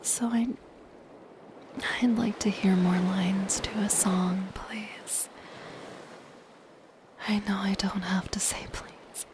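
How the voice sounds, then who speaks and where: Slow whispering siren, acted by a woman. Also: medium pitch, steady tone, lightly acted. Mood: entranced, pleading. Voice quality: soft, breathy whisper. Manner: slow, gentle, intimate delivery. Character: soft-spoken possessive siren, curious, lonely, monster girl. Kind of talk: ASMR roleplay. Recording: close whisper under ocean surf noise. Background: ocean waves and surf.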